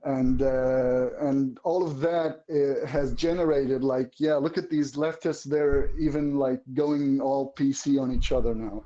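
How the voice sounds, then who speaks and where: Halting man, mellow tone. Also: mid-range voice, fairly even intonation, muffled recording. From Syria. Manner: halting, searching for words. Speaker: guest viewer. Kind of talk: casual political discussion.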